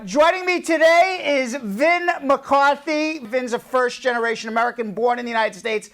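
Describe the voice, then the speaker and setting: Brisk middle-aged male talk show host. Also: extremely high pitch, a bit bright. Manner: brisk, questioning, repeats points back. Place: TV studio desk.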